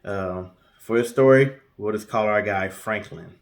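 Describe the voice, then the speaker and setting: A man co-hosting a storytelling show, speaking casually and haltingly, often pausing mid-sentence. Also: fairly low pitch. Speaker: co-host telling stories in a guessing-game show.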